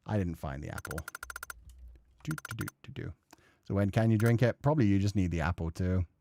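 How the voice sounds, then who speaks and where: A man's voice: sits low, expressive, fairly quick, vocal fry, dark tone, slight background noise. A man doing casual let's play commentary, muttering sweary asides and reading bits of game text aloud to himself. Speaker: gaming streamer.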